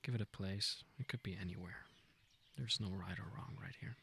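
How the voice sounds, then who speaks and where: A man with a low, husky voice full of vocal fry guides a meditation. He speaks softly and gently, without hurry, in an informal and intimate way. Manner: gentle, unhurried, informal with self-corrections. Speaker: meditation guide, podcast host.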